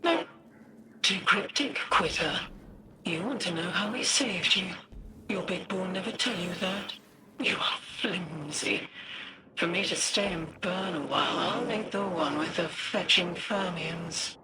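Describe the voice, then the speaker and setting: A woman's voice: lowish voice, unhurried, heavy background noise, natural voice. A woman voicing a cryptic cosmic entity in a game, whimsical, grandiose and taunting in a theatrical way. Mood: mocking, taunting. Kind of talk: scripted game cutscene dialogue.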